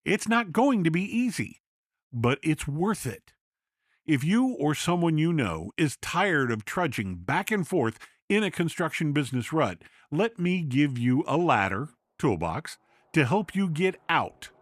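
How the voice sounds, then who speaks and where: A man's voice: a little high, very animated, measured pace, dry recording, studio quality. A man presenting a podcast in a scripted narration style.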